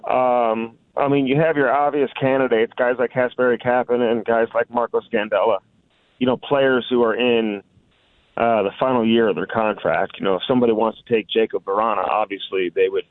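A man talks through his sports analysis in a conversational, unscripted way, as a guest reporter would. The sound is dry and somewhat dull, like a lo-fi radio call. His pitch sits in the middle for a man. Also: no room sound. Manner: conversational, frequent "you know" and "listen".

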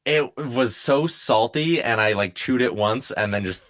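A man's voice: medium pitch, a little slow, a bit bright, dry recording, clean studio sound. A man chatting casually about sports as a regular on a talk show.

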